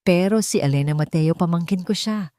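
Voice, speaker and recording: A woman's voice: lowish voice, a little fast, dark tone, dry recording, clean studio sound, natural voice. A woman voicing a hesitant, uneasy middle-aged aunt with a false sweetness, in a storybook. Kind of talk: audio storybook character dialogue.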